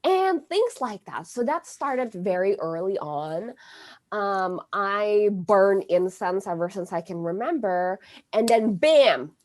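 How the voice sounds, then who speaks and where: Slow, thoughtful woman astrologer. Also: mid-range voice, expressive. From Indonesia. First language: Indonesian. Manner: halting, many fillers and restarts. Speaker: astrologer guest. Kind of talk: conversational interview.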